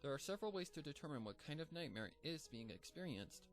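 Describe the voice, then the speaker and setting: A man reading a fanfic aloud, voicing an earnest, bookish young female pony in a gentle, lightly raised voice. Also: on the high side, very animated, quick pace, faint music behind, pitched above the performer's own voice. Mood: studious.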